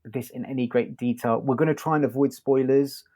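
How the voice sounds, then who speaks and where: A man's voice: medium pitch, steady tone, fairly quick, dark tone. A man hosting a podcast, talking through a review in a casual, hesitant, circling way.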